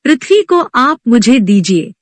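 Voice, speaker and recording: A woman storyteller plays a proud, stubborn ascetic sage from a devotional storybook. He speaks in formal commands, slowly, with strongly varied intonation. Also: on the high side, sing-song, no room sound. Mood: arrogant.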